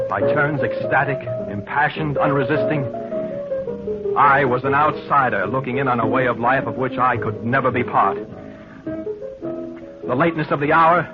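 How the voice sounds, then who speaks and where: A man's voice: mid-range voice, lightly acted. An actor playing a troubled, possessive husband who narrates his story with formal, earnest diction in 1940s radio drama style. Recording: muffled vintage radio recording with music.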